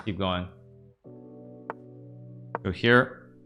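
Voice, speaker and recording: A man's voice: low voice, steady tone, drawn-out words, over music. An American man in his thirties, streaming a chess game, thinking aloud and answering chat questions in a casual, rambling way. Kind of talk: casual live-stream commentary.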